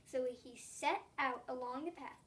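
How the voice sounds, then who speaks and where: Little girl like 3rd grade reading a story out loud, high voice, expressive, stumbles a bit, mic kinda far. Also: measured pace, slight background noise. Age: child, about eight or nine (3rd grader). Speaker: young student reading a storybook aloud. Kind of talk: read-aloud story narration.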